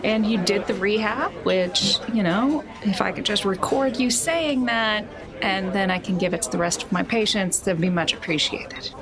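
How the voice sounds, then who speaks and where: A woman's voice: medium pitch, moderate pace, a crowd in the background. A woman playing a tabletop role-playing game, speaking in an informal, conversational way. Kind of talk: casual role-play chat.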